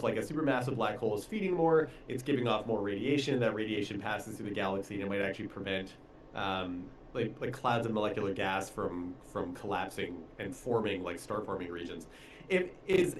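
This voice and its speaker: A man with a fairly low voice co-hosts a casual live discussion. He phrases questions and explanations tentatively, feeling his way through them. There is faint noise in the background. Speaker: co-host asking questions.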